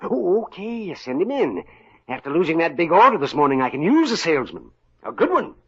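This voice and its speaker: Grumpy middle-aged boss, sarcastic, high-ish voice, lively delivery, dull old radio sound. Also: male voice, brisk, husky, exaggerated voice. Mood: exasperated. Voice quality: sputtering, sarcastic. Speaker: company owner. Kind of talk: 1950s radio comedy acting. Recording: muffled old radio broadcast.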